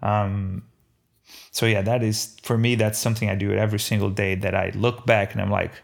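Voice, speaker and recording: A man from Brazil with a faint Brazilian accent, speaking casually in an interview as a restaurant owner, haltingly and repeating words as he thinks. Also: on the low side, flat delivery, vocal fry. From Brazil, Belo Horizonte. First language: Portuguese.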